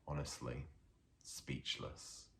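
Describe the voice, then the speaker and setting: A man with a strikingly deep, low voice introduces himself and reads his poetry. He takes his time over every phrase and speaks at a slow, unhurried pace. His introduction is halting and filled with small hesitations. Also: level tone, fairly bright tone. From Leeds, England. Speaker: poet.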